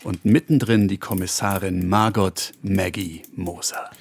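A man's voice: low-pitched, fairly even intonation, a little slow, no room sound. A man playing a formal, theatrical narrator of a comic radio crime story. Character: grand, wry narrator of a salon murder mystery. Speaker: actor at a table read. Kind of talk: scripted radio play narration.

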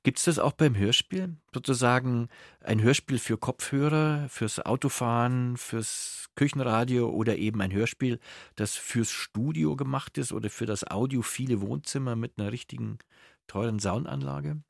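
A man's voice: medium pitch, moderate pace, vocal fry, no room sound. A man hosting a radio interview, putting questions and presenting in a studio.

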